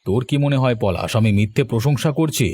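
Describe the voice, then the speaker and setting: A man's voice: mid-range voice, a little fast, darkish tone, natural voice. A man playing a kindly, generous village headman in a cartoon, hearty and avuncular. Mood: approving. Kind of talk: Bengali cartoon dialogue acting.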